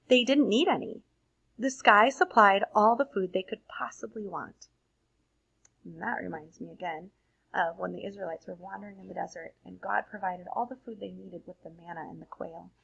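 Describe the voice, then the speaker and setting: A woman reading a picture book aloud to children in an expressive storytelling manner, adding simple, casual explanations. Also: mid-range voice, normal speed, vocal fry, breathy edge, lo-fi sound. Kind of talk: read-aloud picture book narration with casual asides to young listeners.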